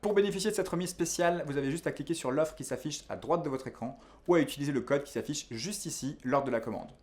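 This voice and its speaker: Fast-talking man pitching an online course. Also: darkish tone. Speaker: video course instructor and founder. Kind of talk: scripted promotional narration to camera.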